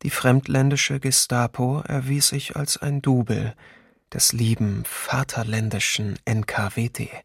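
An actor playing a sardonic memoir writer in a documentary radio play, reading soberly with dry irony. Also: male voice, medium pitch, little pitch movement, measured pace, gravelly, breathy edge, dry recording. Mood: dryly bitter. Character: sardonic memoir writer looking back on occupation.

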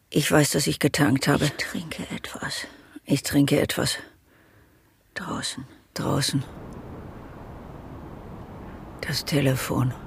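A woman acting a weary, disoriented driver in a poetic radio monologue, speaking in fragmentary, halting, often hushed phrases. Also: deep voice, vocal fry, slightly rough, no room sound, studio quality, exaggerated voice. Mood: weary, detached, then hushed, inward.

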